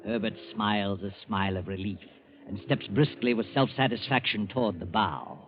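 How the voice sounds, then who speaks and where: A man narrating a 1940s radio suspense story in a dramatic, deliberate present-tense style. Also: on the low side, natural voice. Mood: suspenseful. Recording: tinny 1940s radio, loud music behind.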